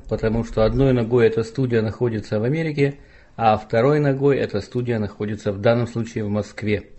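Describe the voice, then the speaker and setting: A male podcast host who talks in an easygoing, chatty way and often ribs his guest with playful questions. His voice sits at an ordinary middle pitch. He speaks casually over a remote call. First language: Russian. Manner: relaxed, chatty, teasing questions. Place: home studio, remote call.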